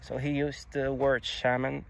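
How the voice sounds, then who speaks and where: A young man whose first language is Czech, speaking outdoors into a phone in a halting, confessional way, restarting words and swearing casually. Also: medium pitch, flat delivery, creaky, a little hiss or hum. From Czech Republic. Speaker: vlogger. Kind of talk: personal confessional monologue. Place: outdoors on a grassy hilltop. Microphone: phone camera held low.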